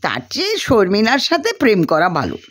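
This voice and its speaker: A woman's voice: mid-range voice, huge pitch swings, moderate pace, breathy edge. A woman voicing a brash, teasing young male college student in a story, with slangy banter. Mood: playful, teasing.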